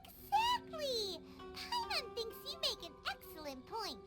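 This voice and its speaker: A woman voicing a tiny excitable, cheeky fairy girl who exclaims freely in a video game cutscene. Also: extremely high pitch, unhurried, heavily acted. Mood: cheerful, eager. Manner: speaks of herself in third person, exclamatory. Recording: loud game music behind.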